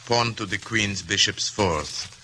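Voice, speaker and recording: An actor playing a supremely bored, cruel enemy general in a 1950 radio drama, clipped and disdainful. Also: male voice, on the low side, slow, sharp tone, a little hiss or hum, full character voice. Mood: imperious.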